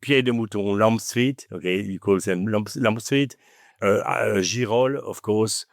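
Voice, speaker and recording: A man with a French accent, French his first language, talking as a podcast guest in an animated storytelling manner, often adding "okay?" and "you know". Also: lowish voice, measured pace, vocal fry, dry recording, clean studio sound. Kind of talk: conversational interview.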